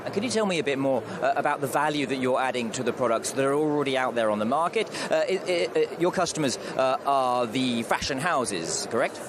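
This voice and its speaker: A man's voice: medium pitch, animated, brisk, few pauses. A man interviewing a guest at an expo stand, hesitant and full of "uh" fillers. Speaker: event interviewer. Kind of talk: on-site interview. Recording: clear street noise behind.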